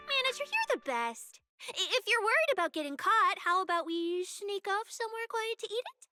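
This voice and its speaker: Bubbly young female police specialist for a game: very high, lively pitch, playful, expressive. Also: moderate pace, exaggerated voice. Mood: delighted, teasing. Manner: playful, expressive, mock-official. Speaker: video game voice actor. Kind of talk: animated game cutscene dialogue. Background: background music.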